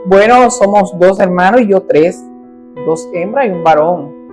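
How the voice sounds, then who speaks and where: A woman's voice: fairly low pitch, measured pace. Cuban woman telling her story, faint guitar. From Cuba. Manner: animated storyteller who acts out voices. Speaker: guest.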